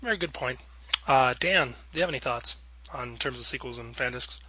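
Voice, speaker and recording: A man's voice: mid-range voice, a little fast, bright, forceful tone, breathy edge, rough recording. A man hosting a casual panel discussion, informal and wordy, rephrasing his questions with examples. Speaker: podcast host and moderator.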